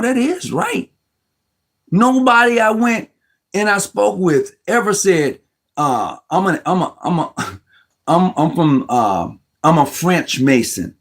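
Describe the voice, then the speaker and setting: A man speaking as host of an informal talk, pressing his view with emphasis. His voice sits a touch high, and he stumbles and restarts words as he reasons aloud. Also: a bit bright. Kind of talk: casual Masonic discussion.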